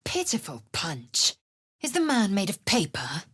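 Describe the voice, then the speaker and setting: A woman's voice: mid-range voice, wildly swooping pitch, measured pace, fairly bright tone, breathy edge, dry recording, clean studio sound, exaggerated voice. An actress voicing a proud, fierce female githyanki warrior in a video game, harsh, scornful and commanding. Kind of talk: game voice lines.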